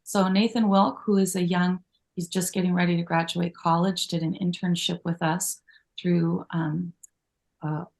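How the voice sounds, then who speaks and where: Middle-aged woman guest, slightly low voice, chatty storyteller. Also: flat delivery, a little slow, gravelly. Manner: warm, grateful, storytelling with many asides. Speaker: magazine co-founder, guest. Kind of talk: conversational interview.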